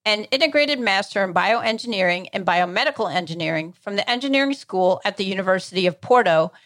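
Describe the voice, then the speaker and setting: A woman's voice: mid-range voice, a bit bright, no echo. A woman hosting an interview podcast, introducing and questioning her guest. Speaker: podcast host.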